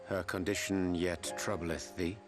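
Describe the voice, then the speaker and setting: A solemn, gentle man of a fantasy game who speaks in archaic, poetic phrases. His voice is low and very unhurried. Loud music swells behind him. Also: level tone. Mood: solemn, tender. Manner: slow, archaic, poetic diction. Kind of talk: dramatic video game cutscene acting.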